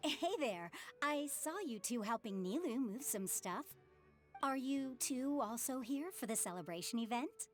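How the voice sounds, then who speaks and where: A woman playing a gentle, contented working mother in a video game, friendly, measured and polite. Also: fairly high pitch, expressive. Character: gentle, contented widowed mother, theater prop worker. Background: game music.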